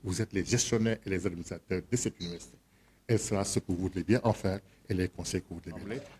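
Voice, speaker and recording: A man's voice: low-pitched, slight background noise. A man chairing a board meeting, giving a formal address and calling votes. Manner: measured, then loud and directive when calling the vote. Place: meeting hall.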